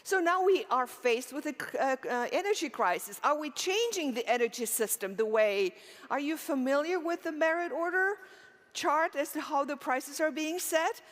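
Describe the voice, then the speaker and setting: A middle-aged woman from Romania speaks from a conference stage, her voice sitting a little high. She poses rhetorical questions to the audience and answers them, with fillers and restarts along the way. A long reverb from the large hall hangs after her words. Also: lively intonation, normal speed. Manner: earnest, with frequent fillers and restarts. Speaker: keynote speaker. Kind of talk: conference keynote talk.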